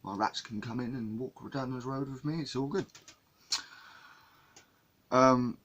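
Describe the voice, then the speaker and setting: English guy vlogging, kinda husky, keeps restarting and repeating himself. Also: mid-range voice, measured pace, fairly bright tone, dull audio. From England. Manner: rambling, many restarts and repeated words. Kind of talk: casual monologue to camera.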